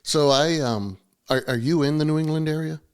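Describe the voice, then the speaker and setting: A man's voice: a little high, moderate pace, smooth. A man from Massachusetts hosting an interview, asking friendly, curious questions. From Massachusetts, USA. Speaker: host. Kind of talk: conversational interview.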